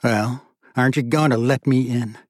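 A man's voice: mid-range voice, steady tone, moderate pace, no room sound, clean studio sound, close to an everyday voice. A man voicing an agitated, impatient older brother with a thick Manhattan accent, whining and incredulous. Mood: exasperated. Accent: New York (Manhattan). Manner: incredulous, whiny, exasperated.

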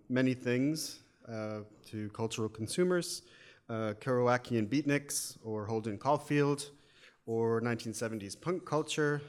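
An American man with a wry, methodical manner, giving an academic talk with frequent fillers. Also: mid-range voice. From United States. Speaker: academic speaker. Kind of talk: lecture presentation.

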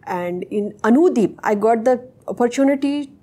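Hesitant soft-toned woman, Urdu native. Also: fairly high pitch, animated, husky. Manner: halting, searching for words in English. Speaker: interview guest, senior technical associate. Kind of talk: podcast interview.

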